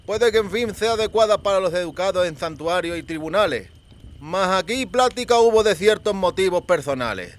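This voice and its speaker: A man acts out the eldest of a rough country gang in an audiobook. The voice is fairly high, with a lisp. He talks slowly and sardonically, in old-fashioned rustic words, with menace underneath. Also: lively intonation. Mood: mocking. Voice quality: lisping. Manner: slow, archaic rustic speech, sardonic.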